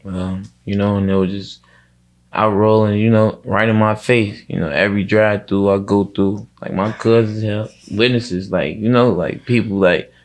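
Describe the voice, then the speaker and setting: Low-voiced Chicago man, casual and slangy. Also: fairly even intonation, moderate pace. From Chicago, United States. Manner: slangy, repeatedly checking the listener follows. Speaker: interview guest, music artist. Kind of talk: casual conversation.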